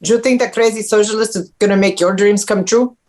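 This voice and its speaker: A woman's voice: mid-range voice, fast, a little mellow, lightly acted. A woman acting a stern, devout conservative mother who is easily offended, curt and disapproving, in a comedy table read. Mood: scolding.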